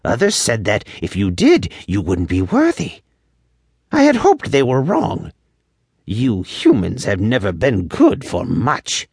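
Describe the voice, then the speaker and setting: A man voicing a tiny, proud male elf in a high-pitched storybook voice, with grudging and old-fashioned formal speech. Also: huge pitch swings, takes it slowly, vocal fry, a little mellow, husky, dry recording, clean studio sound, heavily acted. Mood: suspicious, scornful. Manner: grudging, archaic formal phrasing. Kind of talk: audiobook character voice.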